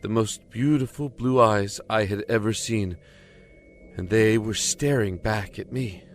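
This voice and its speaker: A man's voice: fairly low pitch, a little hiss or hum, close to an everyday voice. An actor playing a haunted young prisoner who tells of his descent into madness in a solemn, intense audio drama monologue. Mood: awed, haunted. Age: young adult. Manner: solemn, deliberate, intense storytelling.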